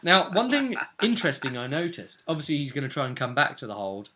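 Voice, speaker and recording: A man speaking as game master, casually recapping a role-play session in a halting, emphatic way with repeated phrases. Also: a little high, sing-song, sharp tone, slight background noise.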